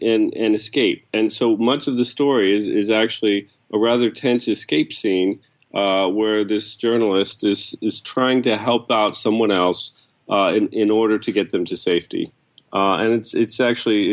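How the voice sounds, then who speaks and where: Guy editor on a podcast, lowish voice, explaining stuff in an interview. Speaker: book editor, podcast guest.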